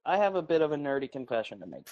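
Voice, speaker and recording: A man from New Hampshire chatting on a podcast with a lively, bouncing pitch. He rambles and swears casually, chuckling at his own wordplay. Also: rapid-fire, lo-fi sound. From New Hampshire, USA. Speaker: podcast co-host. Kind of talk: casual chat.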